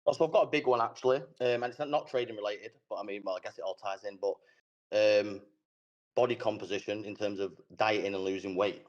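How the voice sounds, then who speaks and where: Man, caller, lowish voice, hesitant, lots of ums, dull muffled call audio. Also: fast talker. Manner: hesitant, many ums, laughs easily. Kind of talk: casual chat on a call. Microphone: remote call connection.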